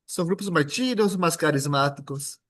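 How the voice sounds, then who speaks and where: A man with a Brazilian Portuguese accent lectures at a seminar, loose and jokey, tripping over words and restarting. His voice sits a little high for a man. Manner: informal, joking, halting with restarts. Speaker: guest lecturer. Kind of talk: academic seminar talk.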